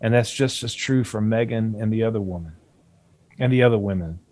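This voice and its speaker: A man at a screenplay table read playing a formal, condescending doctor with veiled menace and a measured, guarded delivery. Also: fairly low pitch, a little mellow, lightly acted. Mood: defensive.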